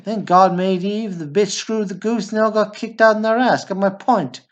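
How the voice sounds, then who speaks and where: A man's voice: high voice, fairly even intonation, moderate pace, highs cut off, lightly acted. A male actor playing an indignant, profane older brother and war veteran in a radio play. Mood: preachy indignation. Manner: profane, blustering, preachy.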